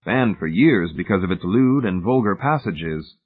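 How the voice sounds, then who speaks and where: Guy reading as a mocking sardonic man in a novel, casual and dry, slightly husky. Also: deep voice, expressive, normal speed, phone-quality sound. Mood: needling. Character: sardonic, casually cruel, needling husband. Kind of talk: audiobook character voice.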